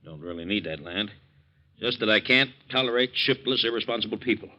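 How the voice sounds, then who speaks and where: An actor playing an irascible, intolerant landowner in a 1940s radio drama, gruff and scornful. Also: male voice, fairly low pitch, a little fast, lightly acted. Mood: scornful, grumbling. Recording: muffled 1940s radio recording.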